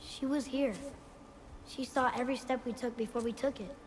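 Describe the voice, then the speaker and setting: An actress playing a solemn, reverent mourner in a dramatic video game, speaking with quiet hope. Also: female voice, fairly high pitch, steady tone, normal speed, close to an everyday voice. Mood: reverent, wistful. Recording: reverberant hall, faint noise.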